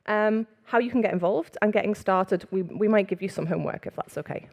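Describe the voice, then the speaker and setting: Liverpool woman on stage at a conference, chatty, quick when excited, stage mic. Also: mid-range voice, vocal fry. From England. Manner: fast when excited, laughs often, chatty. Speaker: conference speaker. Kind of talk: conference talk. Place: conference room with audience.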